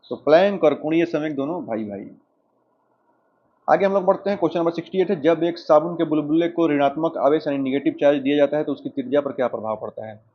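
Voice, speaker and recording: Higher-pitched Indian male teacher, dull recording. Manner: explanatory, often addressing students directly. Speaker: exam coaching teacher. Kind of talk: lesson, working through quiz questions and explanations. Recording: dull lo-fi microphone sound.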